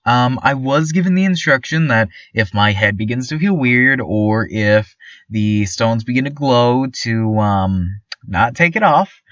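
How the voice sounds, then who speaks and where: A man playing a chipper, nervously obedient small-town radio host, stammering politely through a deadpan surreal monologue. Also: mid-range voice, measured pace, no echo, distant mic, highs cut off. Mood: uneasy. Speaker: local radio station host.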